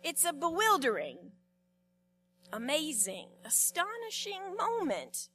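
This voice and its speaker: A woman pastor delivering a sermon, telling the story expressively with repetitions and dramatic pauses. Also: high-pitched, huge pitch swings, bright, forceful tone, slight background noise.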